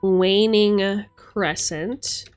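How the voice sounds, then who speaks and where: A woman's voice: mid-range voice, steady tone, extremely slow, darkish tone. A woman giving a casual, chatty tarot reading on a live stream, musing aloud in informal language. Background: background music.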